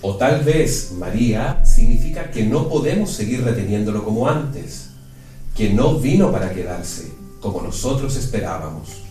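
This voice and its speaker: A man's voice: lowish voice, lively intonation, moderate pace, dark tone, faint music behind, lightly acted. A man playing an earnest, awed disciple in a radio play, questioning with wonder, recorded in an echoey room. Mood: earnest, searching. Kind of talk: youth radio play acting.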